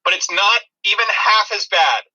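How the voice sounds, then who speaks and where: A man's voice: high voice, lively intonation, moderate pace, lo-fi sound, noisy background. A man co-hosting a movie review podcast, chatting in a dry, disparaging way. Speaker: podcast co-host.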